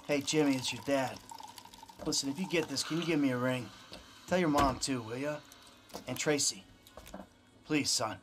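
A man's voice: medium pitch, moderate pace, long pauses, slight background noise, close to an everyday voice. A man playing a weary, sarcastic, short-tempered middle-aged American criminal father in a video game. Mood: worried. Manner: sardonic, world-weary. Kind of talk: video game cutscene acting.

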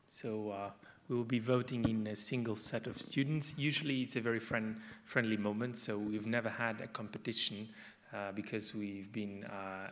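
A man's voice: fairly low pitch, a little hiss or hum. A young man presenting his association's board candidates from a lectern in a conference hall, speaking loosely with many fillers.

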